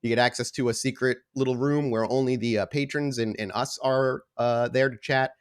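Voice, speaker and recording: A man's voice: mid-range voice, fairly even intonation, fairly quick, smooth. A man speaking as the host and storyteller of a tabletop roleplay podcast. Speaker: host and game storyteller of an actual play podcast. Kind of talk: casual announcements, then slow scene-setting narration.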